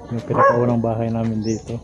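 A middle-aged Filipino man narrating a casual road trip vlog from inside a car, chatting to his viewers in a relaxed way. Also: medium pitch, fast talker. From Philippines. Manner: relaxed, addresses viewers as idols, code-switches into English. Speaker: vlogger. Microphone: action camera mounted in the car. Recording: muffled camera audio with loud road noise. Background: car and road noise.

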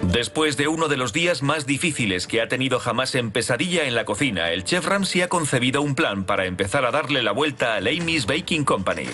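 A man's voice: medium pitch, expressive, a little fast, music in the background. A man giving scripted narration for a reality show.

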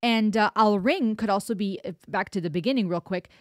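A woman's voice: mid-range voice, fairly quick, dry recording, studio quality. Thoughtful woman. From Germany. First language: German. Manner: thoughtful, emphatic, explains with hand gestures. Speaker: music reaction host. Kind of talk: analytical song reaction. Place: small home studio indoors. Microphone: close studio broadcast mic on boom arm, wearing headphones.

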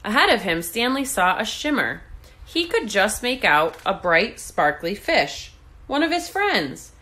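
A woman reading a children's storybook aloud in an expressive, deliberate way. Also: medium pitch. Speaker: read-aloud host.

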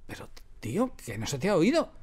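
A man from a radio studio interview speaks with a Spain accent in a slightly high voice. He talks casually and with animation, repeating words to drive a point home. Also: wildly swooping pitch, fairly quick, darkish tone, slightly rough. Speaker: radio drama creator, interviewee. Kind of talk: casual interview chat, telling anecdotes.

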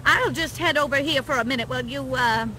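A woman's voice: high-pitched, brisk, bright, forceful tone, poor audio. A woman voicing a chirpy, naive, over-polite female tour-guide robot in a video game. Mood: perky, helpful. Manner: perky, over-polite helpful chatter. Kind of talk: video game character acting. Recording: music behind the voice.

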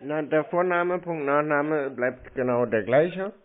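A man's voice: fairly high pitch, unhurried. A man chatting casually on a phone call in a laconic, stubborn, needling way. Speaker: scam-baiter taking phone calls. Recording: muffled narrow-band phone call audio.

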